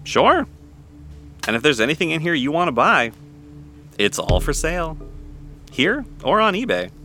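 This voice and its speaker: An actor playing a chatty, easygoing cafe owner in an audio drama, talking casually. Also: male voice, fairly high pitch, sing-song, moderate pace, sharp tone, faint music behind. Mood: friendly, casual. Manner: casual, conversational. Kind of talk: naturalistic audio drama acting.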